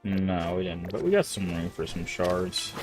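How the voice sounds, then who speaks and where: A young man with a somewhat low, slightly nasal voice, stuffy from congestion, rambles through casual commentary while playing a game. He often trips over his words and restarts sentences. Soft game music plays faintly underneath. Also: fairly even intonation, a little slow. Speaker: streamer.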